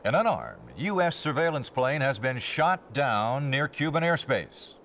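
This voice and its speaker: A man's voice: medium pitch, sing-song, a little slow, a bit bright, a little hiss or hum. A man playing a solemn television news anchor in a game, reading special reports formally and emphatically. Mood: grave, formal.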